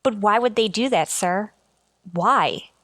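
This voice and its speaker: An actress playing an earnest, deferential starship communications officer in a sci-fi radio drama. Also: female voice, mid-range voice, expressive, slow, a bit bright, no echo. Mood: respectful, uneasy. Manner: earnest, respectful, careful.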